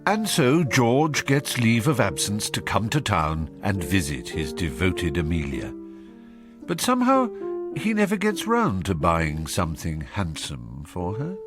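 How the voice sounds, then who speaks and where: An arch English narrator of a radio period satire. His lowish voice moves extremely freely in pitch, wry and knowing, over faint music. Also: huge pitch swings, unhurried, exaggerated voice. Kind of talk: scripted narration.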